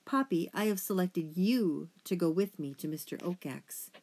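A woman's voice: fairly low pitch, expressive, normal speed, darkish tone. A self-important mouse patriarch from a children's storybook, acted out by a woman narrator. He speaks formally and sternly, with a pompous weight and ready indignation. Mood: grandly pompous.

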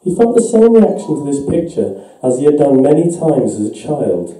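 Dark-toned young man reading in echoey room. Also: a little high, sing-song, normal speed. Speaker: story reader. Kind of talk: live literary reading of an eerie short story. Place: indoor event room, on small stage. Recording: distant, muffled, very echoey room.

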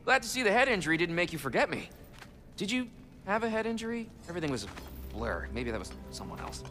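A friendly young villager in a fantasy video game. His voice is bright and a little high, with very lively pitch movement. He talks eagerly and chattily. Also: quick pace, faint music behind, full character voice. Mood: excited, glad. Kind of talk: video game dialogue acting.

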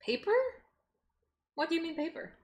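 A woman's voice: very high voice, fairly even intonation, moderate pace, lo-fi sound. A young woman from Los Angeles chatting casually with her stream while cooking in a home kitchen, answering chat as she chops. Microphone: desk microphone on the counter.

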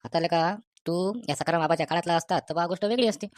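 Woman voicing a low-pitched brash village lad. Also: fast talker, a little mellow, no echo, clean studio sound, close to an everyday voice. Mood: scornful. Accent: rural Vidarbha Marathi. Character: brash, mocking, skeptical young village man. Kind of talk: rustic comic cartoon dialogue.

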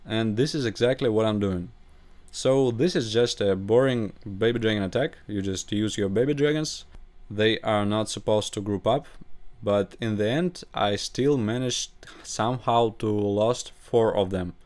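A young man with a Russian accent, a gaming channel host commenting over his gameplay in halting English, with grammar slips. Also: lowish voice, a little mellow. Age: young adult.

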